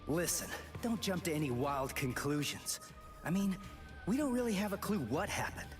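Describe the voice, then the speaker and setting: A man's voice: a little high, very animated, normal speed, recorded from a distance, faint music behind. An actor voicing a brash, hot-headed teenage boy hero in an anime game, blunt and defiant. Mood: firm. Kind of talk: English-dubbed anime game voice acting.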